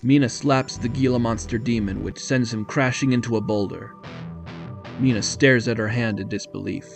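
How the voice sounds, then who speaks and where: A man's voice: medium pitch, steady tone, normal speed, gravelly, over music. A man narrating an audio drama from a script in a storytelling style.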